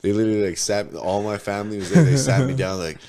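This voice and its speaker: A hoarse man in his twenties from New Mexico, a podcast guest telling candid, profane stories in heavy slang. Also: lowish voice, animated, fast, dark tone. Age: 20s. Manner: laid-back, profane, storytelling, heavy slang. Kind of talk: casual candid interview chat.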